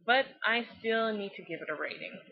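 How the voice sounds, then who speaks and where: A woman's voice: fairly high pitch, animated, brisk, vocal fry, slightly rough. A woman hosting a web series, reviewing casually and narrating a story. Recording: muffled lo-fi with music behind. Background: background music.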